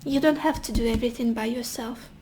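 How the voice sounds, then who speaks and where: A woman with a high voice that has gone hoarse, as if she is losing it. She gives a relaxed tarot reading, talking gently and reassuringly straight to her listener, with a faint hiss in the background. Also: fast talker. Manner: gentle, reassuring, addresses the viewer directly. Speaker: tarot reader. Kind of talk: casual pick-a-card tarot reading.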